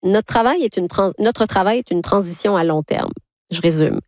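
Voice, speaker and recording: A woman's voice: medium pitch, normal speed, a little mellow, dry recording. Probing woman interviewer, dry sound. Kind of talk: prepared interview questions.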